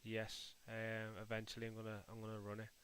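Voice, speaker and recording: A man's voice: on the low side, completely flat delivery, fairly quick, a bit bright, slight background noise. A man from Liverpool with a Scouse accent, hosting a live stream and chatting casually to viewers while he builds in a game editor, calling them mate and muttering to himself as he works. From Liverpool, England. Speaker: livestream host.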